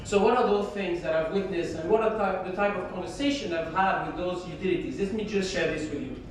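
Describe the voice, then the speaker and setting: French woman giving a keynote, chatty and halting, lots of uhs, sounds far off in a big echoey hall. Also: lowish voice, noisy background. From France. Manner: conversational, hesitant, many fillers. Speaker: keynote speaker. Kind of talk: conference keynote presentation. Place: large conference hall.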